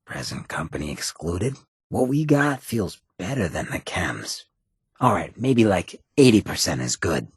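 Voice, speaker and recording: Super raspy ghoul rogue from a video game, wry streetwise drawl, kinda deep, close dry sound. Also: male voice, no room sound, heavily acted. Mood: sly, intimate. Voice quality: raspy, gravelly. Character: roguish, wry male ghoul mayor, chem-loving companion. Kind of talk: video game companion dialogue.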